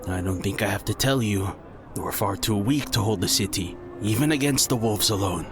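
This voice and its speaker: A man playing a resolute ninja master in a fantasy audio story, speaking with earnest, measured urgency. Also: fairly low pitch, over music, lightly acted. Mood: grave. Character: earnest, resolute male ninja master defending his city.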